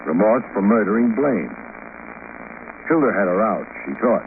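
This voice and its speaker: An actor with an American accent playing a wry, hardboiled private detective in a sardonic, clipped old radio-drama style. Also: male voice, fairly low pitch, sing-song, moderate pace. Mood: explaining. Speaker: lead actor, detective narrator. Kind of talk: 1940s-50s American radio detective acting. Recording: noisy, narrow-band old radio recording.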